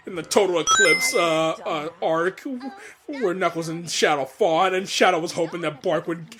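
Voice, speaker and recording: A man giving casual, excited director's commentary, stammering and repeating words as he goes. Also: a little high, normal speed, very noisy. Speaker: comic dub director giving audio commentary.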